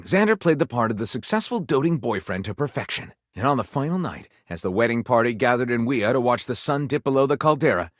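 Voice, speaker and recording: Actor as theatrical male storyteller, wildly swooping pitch. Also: no echo, studio quality, close to an everyday voice. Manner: theatrical, breathless, overwrought delivery. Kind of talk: dramatic storybook narration.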